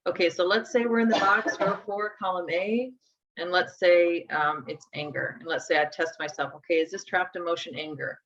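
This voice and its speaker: Woman in her 40s, low voice, teaching a class step by step, kinda muffled lo-fi sound. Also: fairly even intonation, moderate pace, a bit bright. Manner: conversational, walks through steps aloud. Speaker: instructor. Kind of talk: live workshop teaching. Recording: dull lo-fi sound.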